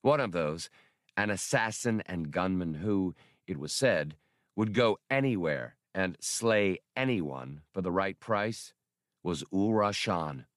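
A man's voice: low voice, expressive, slow pace, no room sound, clean studio sound. A man narrating scripted adventure fiction as a dramatic audiobook reader.

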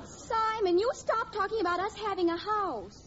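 Impatient young daughter, protesting, extremely high pitch; muffled vintage radio sitcom. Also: female voice, moderate pace, a crowd in the background. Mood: coy, dismissive. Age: young adult. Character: exasperated young daughter, brushing off a gushing suitor. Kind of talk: 1940s radio sitcom acting. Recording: muffled old radio recording, very noisy.

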